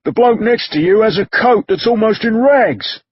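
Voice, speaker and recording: Brief: rough drunken street ruffian, read by a man, accusing and coarse, lively swings. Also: high voice, moderate pace, dry recording, dull audio, voice pushed up. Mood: jeering hostile. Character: drunken rough Victorian mob man, hostile.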